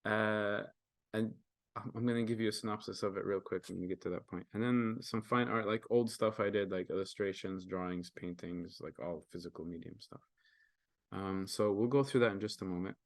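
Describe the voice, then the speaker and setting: A man's voice: on the low side, steady tone, moderate pace, no echo. A young man, a native Arabic speaker who grew up in Kenya, presenting his artwork in an earnest, casual way with many fillers and restarts over a video call. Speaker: guest artist presenting his work.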